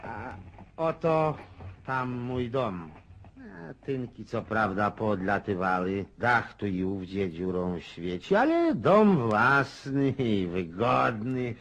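An actor playing a garrulous, restless old Russian country landowner in a radio drama, rambling in a folksy way. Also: male voice, mid-range voice, expressive, slow, fairly bright tone, heavily acted. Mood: proud, hospitable. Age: older. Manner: rambling, folksy, muses aloud.

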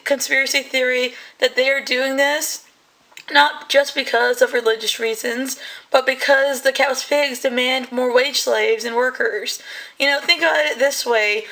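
A woman hosting her channel, giving heated, rambling commentary in a hoarse voice that is still coming back. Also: high-pitched, pushed and bright. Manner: heated, rambling, many fillers. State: hoarse, voice recovering. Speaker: channel host. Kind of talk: casual commentary.

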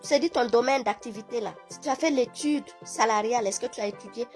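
A woman's voice: a little high, fast talker, a little mellow, faint music behind. A woman speaking directly to her phone camera, giving advice in a lecturing way. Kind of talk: advice video.

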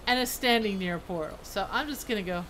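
A woman's voice: medium pitch, quick pace, fairly bright tone, slight background noise, poor audio. A woman giving casual, playful, colloquial commentary as she streams a video game.

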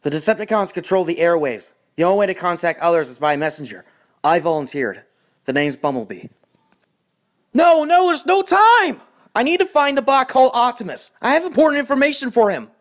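A man's voice: sits high, very animated, a little fast. A man voicing a young, earnest, anxious robot scout with urgent delivery for a cartoon audition.

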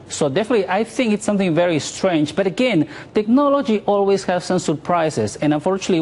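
Guy in his 40s, kinda high voice, giving market commentary on live tv news. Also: darkish tone. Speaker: author and market commentator guest.

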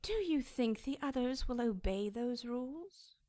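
A high, dry-sounding cherub from a radio drama. The angel is cold and imperious, choosing each phrase formally and looking down on mortals. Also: female voice, animated, dark tone, no echo. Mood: indignant, haughty. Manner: formal, stately, measured phrasing.

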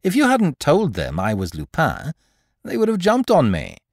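A man voicing a suave, mocking gentleman thief who talks in a jaunty, patronizing, theatrical way. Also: a little high, wildly swooping pitch, moderate pace, no echo, clean studio sound, voice pushed up, heavily acted.

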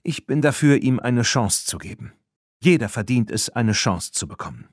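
A man's voice: medium pitch, sing-song, moderate pace, no room sound, clean studio sound. A man voicing a fair-minded farm woman in an audiobook, earnest and appealing. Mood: earnest, conciliatory. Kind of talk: audiobook character reading.

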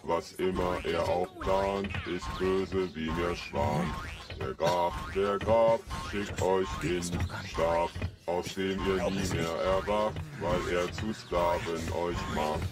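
Man doing a deep, ponderous golem. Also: fairly even intonation, takes it slowly, loud music behind the voice. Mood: singsong. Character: big, reluctant, naive iron golem.

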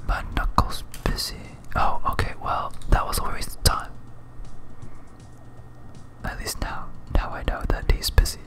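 A gaming host giving whispered, breathy ASMR commentary in a casual, self-muttering way while playing. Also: a little slow, long pauses.

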